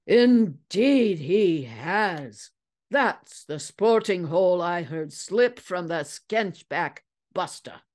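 A woman's voice: on the low side, animated, slow pace, a little mellow. Woman doing a blustering, proud hotel man. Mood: offended, amused. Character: proud male hotel keeper, amused and offended, colorful slang.

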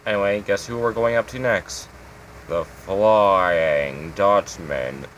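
A man's voice: deep voice, slow, fairly bright tone, a little hiss or hum. A man hosting his own game channel, giving a casual, rambling tour of his builds with lots of fillers.

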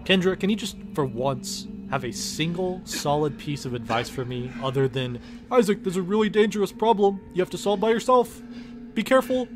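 A man's voice: high voice, expressive, normal speed. Sarcastic man over prominent music. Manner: sarcastic, profane ranting. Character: exasperated, foul-mouthed, sarcastic male space engineer in armour. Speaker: voice-over performer dubbing a game parody. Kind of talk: comedic scripted parody dialogue. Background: game music.

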